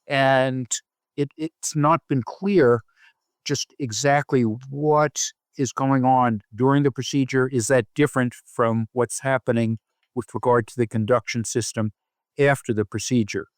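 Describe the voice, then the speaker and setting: Measured man, very dry close sound. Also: mid-range voice, steady tone, no room sound. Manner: measured, formal, technical. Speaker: guest, professor of cardiac electrophysiology. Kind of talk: explanatory interview answers.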